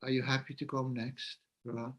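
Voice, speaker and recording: A man from the Netherlands, hosting the talk and gently guiding it, passing the floor to others. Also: mid-range voice, little pitch movement, normal speed. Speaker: host.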